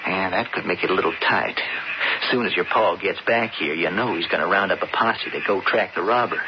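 Intense young cowboy outlaw, muffled sound. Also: male voice, low voice. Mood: earnest, plotting. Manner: folksy, drawn-out frontier talk. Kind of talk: radio western drama acting. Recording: muffled, very noisy old radio recording.

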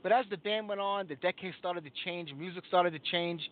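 Fast-talking rock radio host. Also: male voice, high voice. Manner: easygoing, chatty, with frequent fillers. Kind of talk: casual live interview chat. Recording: lo-fi phone-like sound.

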